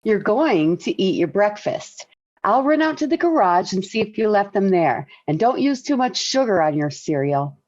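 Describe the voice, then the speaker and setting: A woman's voice: mid-range voice, sing-song, moderate pace, a little mellow. A woman playing a patient, soothing housewife and mother in a 1940s radio sitcom. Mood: brisk. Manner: placating, motherly. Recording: dull 1940s radio recording.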